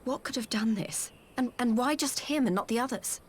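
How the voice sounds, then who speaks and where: A woman's voice: a little high, moderate pace, slightly rough. A woman playing a loyal, caring, plain-spoken young commander in a video game. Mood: worried. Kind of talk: scripted video game cutscene acting.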